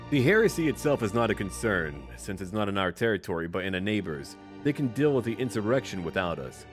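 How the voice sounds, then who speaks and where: A man narrating a strategy game roleplay in a theatrical, in-character manner. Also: medium pitch. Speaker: gaming video host. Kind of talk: scripted story narration and game commentary. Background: background music.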